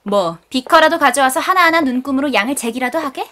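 A woman's voice: high voice, animated, fast talker, bright, forceful tone. A woman voicing a hostile young woman in an amateur audio drama, cursing and talking with sarcastic contempt. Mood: contemptuous.

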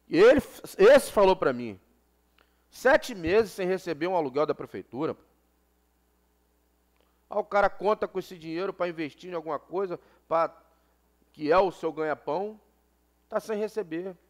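Impassioned man speaking at a council desk. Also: high-pitched. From Brazil. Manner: impassioned, repeats phrases for emphasis. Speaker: city councilman. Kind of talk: council floor speech. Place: council chamber, indoors. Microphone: desk gooseneck microphone.

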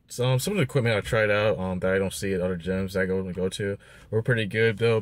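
A man's voice: low voice, no room sound. A man in his twenties talking casually to camera as a fitness vlogger, recorded inside a car. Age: 20s. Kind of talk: casual vlog chat to camera.

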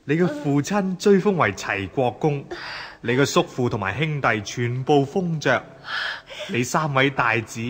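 An actor with a Hong Kong Cantonese accent playing a doting, commanding Chinese emperor in a stately, theatrical radio drama manner. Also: male voice, medium pitch, husky.

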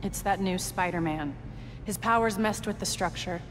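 A woman's voice: on the low side, moderate pace, a little hiss or hum, natural voice. Woman as commanding gang leader in reverberant hall. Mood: stern. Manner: commanding, intense, terse. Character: vengeful young female underground gang leader. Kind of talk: video game cutscene acting. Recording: long hall reverb, music behind.

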